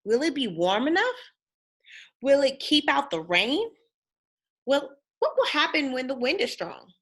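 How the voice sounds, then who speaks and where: A woman's voice: high voice, sing-song, a little slow, a bit bright, voice pushed up, exaggerated voice. A woman voicing a thoughtful, cautious young boy piglet in a storybook, with a careful, questioning manner. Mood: doubtful.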